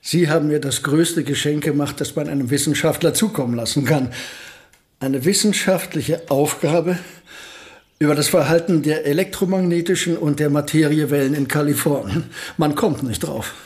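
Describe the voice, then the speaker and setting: A man's voice: a little high, normal speed, darkish tone, close to an everyday voice. An actor playing a courteous, whimsical, modest male physicist in a radio drama, speaking in polite, formal phrases. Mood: courteous, gently ironic.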